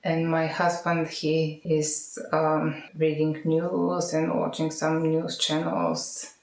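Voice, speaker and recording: A middle-aged woman from Bulgaria with a Bulgarian accent, speaking non-native English in an unscripted monologue to camera, halting and correcting herself as she searches for words. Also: deep voice, monotone, darkish tone, a little hiss or hum. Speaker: vlogger practising English speaking. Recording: echoey, roomy sound.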